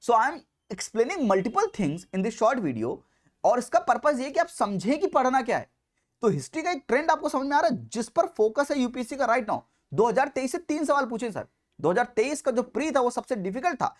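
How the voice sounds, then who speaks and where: Animated Indian male coaching teacher. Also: very high voice, normal speed. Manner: emphatic, repeats key points. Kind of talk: lecture mixing Hindi and English.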